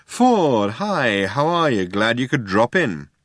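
A man's voice: mid-range voice, big pitch swings, normal speed, a bit bright, no room sound, clean studio sound. A man voicing a laid-back, evasive alien show-off in a comic audiobook, with affected nonchalance. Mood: nonchalant. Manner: affected nonchalance, hesitant stammering when cornered.

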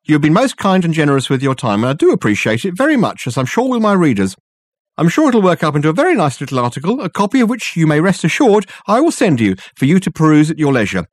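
Grand gushing posh gent, a man doing the voice, high and bouncy, dry sound. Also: wildly swooping pitch, fast, dry recording. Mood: effusive. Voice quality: pompous, wordy, effusive. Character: flamboyant verbose man, pompous and triumphant.

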